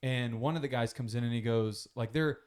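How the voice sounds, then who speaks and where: A man's voice: mid-range voice, brisk, a little mellow, clean tone. Rambling, foul-mouthed podcast co-host man. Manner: rambling storyteller, swears freely, acts out quotes. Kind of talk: casual podcast chat.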